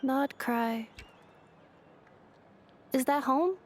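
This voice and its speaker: A woman's voice: high voice, drawn-out words. A woman voicing an earnest, curious robot girl with memory loss, speaking in stilted, literal phrases for a video game. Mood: earnest, matter-of-fact.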